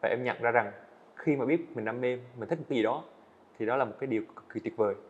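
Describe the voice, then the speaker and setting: A man's voice: medium pitch, lo-fi sound. A young man, a student animator, answering interview questions earnestly in casual speech, often restarting his phrases. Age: young adult. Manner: earnest, fast-talking, frequent small restarts. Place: indoor studio set.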